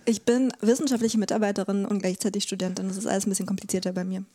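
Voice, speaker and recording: A woman's voice: mid-range voice, level tone, very fast, mellow. Young woman, wry podcast guest. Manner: wry, self-ironic. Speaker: interview guest, research associate. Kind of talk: casual interview conversation.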